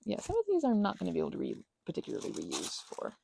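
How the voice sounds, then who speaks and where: A woman host chatting casually in a meandering, self-deprecating ASMR craft ramble, with a creaky voice, often trailing off mid-thought. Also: lowish voice, wildly swooping pitch, rapid-fire, mellow, slight background noise.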